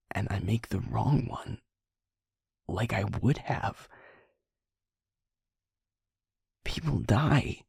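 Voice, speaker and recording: A man playing a guilt-ridden young werewolf boyfriend in an intimate audio roleplay, speaking haltingly and rawly in a husky, creaky, breathy voice. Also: lowish voice, dry recording, studio quality, exaggerated voice. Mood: guilty, self-doubting. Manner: halting, emotionally raw, broken-up phrases.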